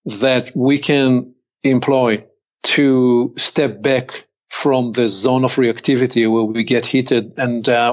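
Older Hebrew-accented male consultant, unhurried and reflective, on a slightly muffled remote line. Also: mid-range voice. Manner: measured, deliberate, slows to stress points. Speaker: podcast guest, executive consultant. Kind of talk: reflective interview talk.

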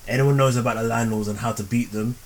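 A man co-hosting a casual podcast, telling stories in an animated way with quick asides. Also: medium pitch, slight background noise. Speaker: podcast co-host.

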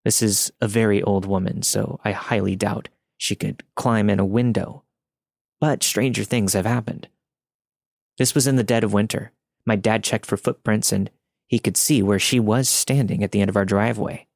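A man's voice: fairly low pitch, fairly even intonation, normal speed, vocal fry, darkish tone, dry recording, studio quality. A man reading a scripted first-person spooky story as a podcast narrator. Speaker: podcast host reading listener stories.

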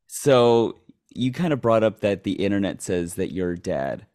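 A man's voice: lowish voice, expressive, measured pace, a little mellow. Curious man hosting a casual chat. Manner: curious and probing, rephrases his questions. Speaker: host.

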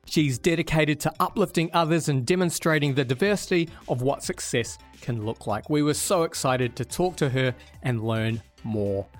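A man's voice: fairly high pitch, moderate pace, hardly any pauses, faint music behind. A man hosting a podcast, reading a welcoming intro and then starting an interview in an upbeat manner. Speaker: podcast host.

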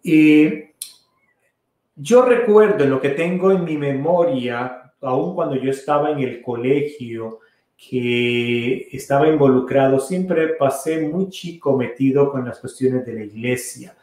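A middle-aged man, a priest hosting a casual podcast, answering listener questions in a relaxed, rambling way with many fillers and restarts. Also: medium pitch, slow pace. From Costa Rica. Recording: very echoey room.

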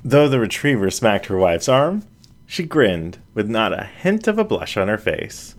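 A man narrating a story in an even, measured reading. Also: lowish voice.

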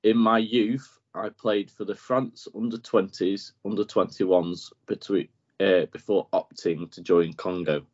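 A man's voice: fairly low pitch, steady tone, measured pace, darkish tone. A man running a football quiz on a podcast, laid-back, reading clues plainly and chuckling often. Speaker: podcast quizmaster. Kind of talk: casual quiz chat. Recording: dull lo-fi podcast audio.

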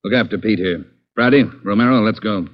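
An actor playing a curt police captain who gives orders in a 1940s radio crime drama. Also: male voice, lowish voice, brisk, lightly acted. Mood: commanding. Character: gruff police captain, commanding. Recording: dull lo-fi 1940s radio broadcast.

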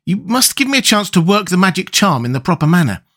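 Grown man doing a nervy young boy in a storybook, high voice, bossy, pitch jumps around. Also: very animated, brisk, a bit bright. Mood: nervous. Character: earnest, anxious, bossy young boy adventurer.

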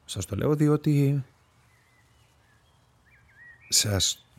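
An actor playing an earnest, awkward police officer in a radio drama, speaking formally and hesitantly. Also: male voice, medium pitch, close to an everyday voice.

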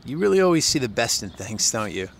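A man's voice: mid-range voice, very animated, fast, vocal fry, a little hiss or hum. Wry, restless small-town young guy. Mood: frustrated. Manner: dry, frustrated, wry. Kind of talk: naturalistic audio drama dialogue.

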